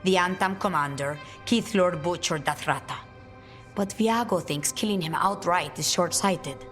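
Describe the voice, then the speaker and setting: Testy assassin lady from a fantasy game, lowish voice, curt and menacing, faint music under it. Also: measured pace, fairly bright tone. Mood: terse, resolute. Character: testy, fierce female assassin of a crow guild. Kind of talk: video game voice acting.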